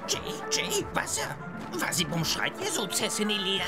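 A tiny, boastful magical owl from a children's radio play. The voice leaps wildly up and down and garbles grand invented words. Music plays clearly behind it. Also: female voice, mid-range voice, huge pitch swings, a little slow. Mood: alarmed, puzzled. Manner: boastful, garbled made-up pseudo-Latin words. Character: cheeky, tiny blue magical talking comfort owl. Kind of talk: children's audio drama acting.

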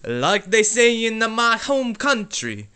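A man voicing a shady, hot-tempered pizzeria owner with an exaggerated fake Italian accent and halting, broken English. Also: sits high, very animated, bright, forceful tone, smooth, exaggerated voice. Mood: boastful, hammy. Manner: hammy, halting broken English with filler syllables. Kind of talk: comic character acting.

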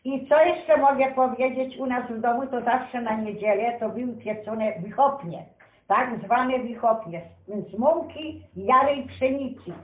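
Elderly woman, high-pitched and unhurried. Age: 70s or older. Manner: folksy, uses many diminutives. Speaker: storyteller recalling village childhood. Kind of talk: unscripted oral storytelling, reminiscence. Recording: roomy, slightly dull sound.

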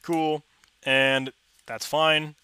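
Bright-voiced young man on headset. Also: on the high side, flat delivery, extremely slow, clean tone. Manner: chatty, enthusiastic, rambling with restarts. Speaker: tech tutorial host. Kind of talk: casual screen-recorded walkthrough.

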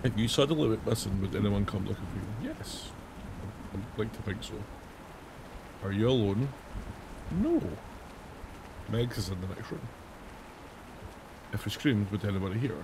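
Hoarse, raspy man playing games. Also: lowish voice, expressive, normal speed, long pauses, background noise. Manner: reads on-screen questions aloud and answers them, jokey. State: hoarse from days of singing. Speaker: gaming channel host. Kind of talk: casual let's-play commentary. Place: home room, indoors. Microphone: headset.

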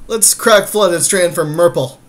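A man's voice: high-pitched, measured pace, crowd noise. A man co-hosting a gameplay podcast, chatting casually and jokingly in a wry way. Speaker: podcast co-host watching an online card game.

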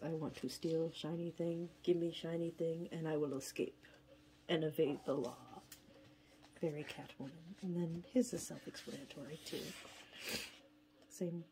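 A woman's voice: fairly low pitch, mellow, slight background noise, poor audio. Stuffy-nosed woman chatting over an unboxing. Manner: chatty, rambling, tired. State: stuffy nose from a cold. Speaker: unboxing vlogger. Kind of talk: casual unboxing chat. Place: indoors at a table, filming hands from above.

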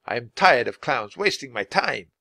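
Guy doing a grouchy growly palace guard, bossy and gruff, big swings, kids audiobook. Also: medium pitch, very animated, takes it slowly, sharp tone, no room sound, heavily acted. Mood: angry. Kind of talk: children's audiobook character voice.

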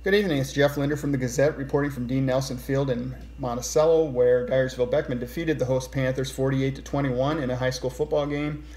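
A man's voice: medium pitch, steady tone, fairly quick, hardly any pauses, a little mellow, low-quality recording. A middle-aged man giving an informal game report as a sports reporter.

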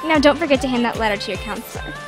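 A woman plays an encouraging female mentor in an audio drama. Her voice is fairly high, and music runs clearly underneath. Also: racing, natural voice. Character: encouraging older mentor to a little girl.

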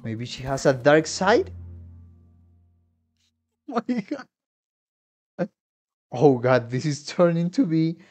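Slow-paced man reacting over game music. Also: on the high side, sing-song, darkish tone. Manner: exclamatory, slangy. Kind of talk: casual reaction commentary. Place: home room with instruments. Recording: game music playing behind the voice.

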